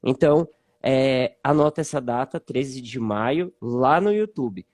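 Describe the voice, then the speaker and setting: A man's voice: medium pitch, lively intonation, measured pace, a little mellow. A Brazilian man hosting a live online chat, upbeat and chatty, reading comments and asking questions. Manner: upbeat, reads viewer comments aloud. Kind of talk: casual live interview.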